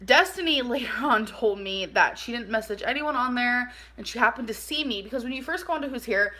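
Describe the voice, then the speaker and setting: Bright-voiced, high-pitched woman telling a story. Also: a little fast. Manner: animated, informal, acts out dialogue, heavy use of "like". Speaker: vlogger telling a personal story. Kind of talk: casual storytime chat.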